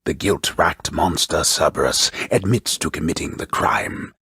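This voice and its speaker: A man voicing a solemn, wry video game storyteller, with gravelly, breathy husk and theatrical, literary phrasing. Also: rumbling low, a little slow, pushed and bright, dry recording, clean studio sound, exaggerated voice. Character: solemn old-fashioned omniscient storyteller with wry gravity. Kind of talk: scripted dramatic storytelling narration.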